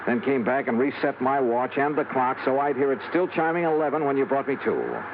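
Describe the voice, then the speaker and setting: A man's voice: mid-range voice, big pitch swings, fairly quick, noisy background. An American man playing a shrewd, wry insurance investigator in a vintage radio noir drama, with clipped tough-guy delivery. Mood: wry, confident. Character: shrewd, hard-boiled male freelance insurance investigator. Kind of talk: 1950s radio noir acting and first-person narration. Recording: muffled vintage radio broadcast with music.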